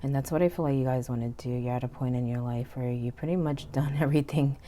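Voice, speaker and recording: Woman tarot reader, very deep, mellow voice, stuffy and hoarse, chatty, faint hum behind. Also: fast talker. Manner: relaxed, chatty, frequent "okay?" and "you know". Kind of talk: casual tarot reading. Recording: faint background noise.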